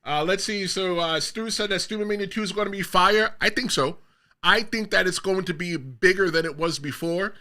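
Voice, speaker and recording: A middle-aged man hosting a wrestling podcast, telling rambling stories in a casual chat and laughing loudly. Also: high voice, normal speed. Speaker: podcast host.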